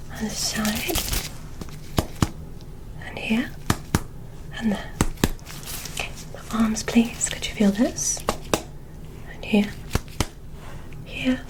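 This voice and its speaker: Very slow whispering ASMR woman. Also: fairly high pitch, low-quality recording. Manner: soft, deliberate, soothing exam instructions with repeated words. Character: polite, curious alien scientist examining a human. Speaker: ASMR performer.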